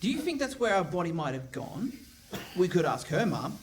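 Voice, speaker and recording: A man playing a deferential, hesitant, deadpan detective sergeant in a comic radio play. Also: sits high, normal speed. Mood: earnest, hesitant. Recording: live hall stage, distant mic, faint noise.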